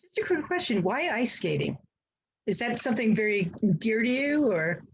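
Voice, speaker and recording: A woman's voice: on the high side, big pitch swings, moderate pace, highs cut off, a little hiss or hum. A woman interviewing an author for a bookstore, friendly and a little hesitant. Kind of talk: interview questions.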